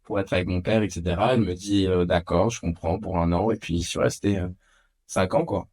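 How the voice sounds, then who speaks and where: Man, 30s, from France, low voice, animated anecdotes, frequent fillers, podcast guest. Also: a little fast. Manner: animated, lots of filler hesitations. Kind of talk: casual storytelling. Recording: dull low-fidelity podcast audio.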